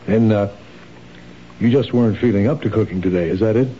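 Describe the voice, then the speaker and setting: An actor playing a kind, patient husband who gently questions his wife, in a 1970s radio drama. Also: lowish voice, fairly quick, slight background noise, close to an everyday voice. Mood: gentle. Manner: gentle, reassuring, probing. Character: kind, patient husband, city office worker. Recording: dull old radio broadcast.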